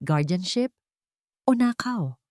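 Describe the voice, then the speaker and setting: A woman's voice: on the low side, expressive, takes it slowly, a little mellow, no echo. A woman narrator acts out a defiant young heroine in a romantic audio drama. She sounds emotional and accusing, in a dry, close recording. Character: defiant, anguished young woman confronting her in-laws.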